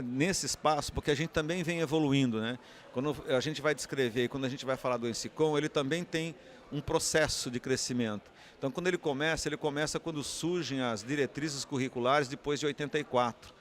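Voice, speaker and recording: A man's voice: mid-range voice, a little fast. A man with a Brazilian Portuguese accent giving an unscripted interview answer, explaining and often posing rhetorical questions. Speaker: interviewee, communications academic.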